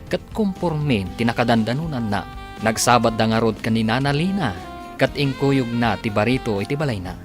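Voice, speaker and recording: Male announcer-narrator for a radio drama serial, scripted delivery, music bed well up. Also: medium pitch. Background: prominent music.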